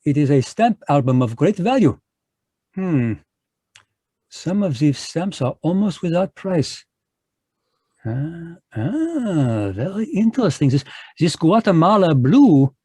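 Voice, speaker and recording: An actor playing a fussy, courtly Belgian detective, in a live radio drama over a video call. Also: male voice, on the high side, sing-song, slow pace, mellow, husky, no room sound, heavily acted. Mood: smug, courtly. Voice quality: precise, courtly French-inflected delivery. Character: fussy male Belgian detective, polite and sly.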